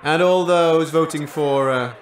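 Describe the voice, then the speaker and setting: An actor playing a dismissive talent contest judge in a comic audio drama, catty and condescending. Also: male voice, fairly high pitch, unhurried, slight background noise, lightly acted. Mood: officious, dry. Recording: echoey room, slightly distant mic.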